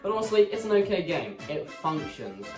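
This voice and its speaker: A young man presents a scripted, comedic game review with lively intonation. He sounds roomy and away from the microphone, with clear music behind him. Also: high-pitched, a little fast. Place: small bedroom, indoors.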